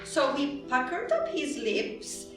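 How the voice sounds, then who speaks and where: A woman's voice: high-pitched, huge pitch swings, takes it slowly. A woman narrating a scripted fairy tale for readers theatre. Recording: very echoey room, distant, music behind.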